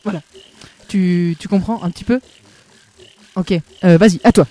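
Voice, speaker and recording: A woman's voice: on the low side, normal speed, dark tone, a little hiss or hum, close to an everyday voice. A woman playing a chatty miming coach and interpreter in an amateur radio play, giving lofty advice with lots of fillers. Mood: eager.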